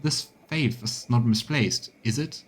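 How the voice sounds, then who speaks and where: A man's voice: medium pitch, measured pace, breathy edge. A man voicing a haughty noblewoman courtier turned deferential, reading video game dialogue in a formal, courtly manner. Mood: grave, formal.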